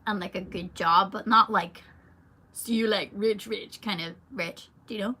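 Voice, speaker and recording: A woman's voice: mid-range voice, steady tone, moderate pace, sharp tone, breathy edge. A young adult woman, a singer, chatting casually through a livestream Q&A with lots of fillers and a rambling manner. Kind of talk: casual livestream Q&A, reading viewer comments.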